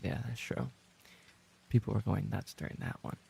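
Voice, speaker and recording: A man's voice: lowish voice, steady tone, moderate pace, vocal fry, mellow, slight background noise. A man livestreaming and chatting casually with his viewers, bantering in a playful, mock-indignant way. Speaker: live streamer and voice actor.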